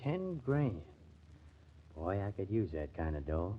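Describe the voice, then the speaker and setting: A man's voice: sits low, big pitch swings, slow, heavily acted. A man playing a streetwise, skeptical ballplayer in an old-time radio crime drama, slangy and teasing. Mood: wary. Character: streetwise, skeptical male ballplayer, needling his teammate. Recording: dull, band-limited old radio recording.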